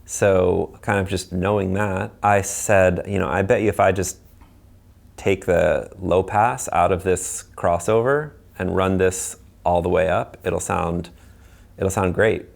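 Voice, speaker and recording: A man's voice: low voice, fairly even intonation, a little slow, gravelly. A man chatting casually about technical design work, informal and thinking aloud as he recounts his decisions. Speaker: loudspeaker designer.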